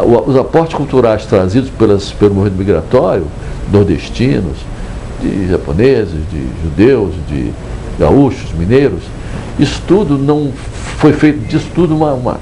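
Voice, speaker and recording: Older São man, mellow voice. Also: mid-range voice, lively intonation, slight background noise, poor audio. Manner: measured, digressive, frequent hesitant restarts. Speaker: book author. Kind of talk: reflective interview monologue.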